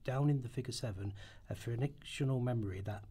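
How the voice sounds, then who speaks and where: Male narrator for a play rehearsal. Medium pitch, slightly rough voice, occasional stumbles in the reading. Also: brisk, dark tone. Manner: stumbles over words and rereads. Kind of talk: scripted narration read aloud in rehearsal.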